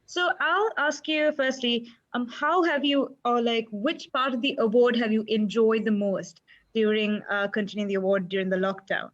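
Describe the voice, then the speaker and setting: A woman's voice: fairly high pitch, fairly even intonation, moderate pace. Young woman, webinar moderator, encouraging, muffled video-call sound. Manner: encouraging, asks follow-up questions. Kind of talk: moderating an online panel.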